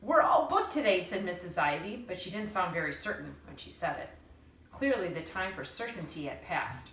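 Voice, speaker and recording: A woman narrates a storybook with an animated, dramatic delivery and deliberate phrasing. Her voice sits a little away from the microphone in a roomy space, with faint noise behind it. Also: on the low side, far from the mic. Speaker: teacher reading aloud.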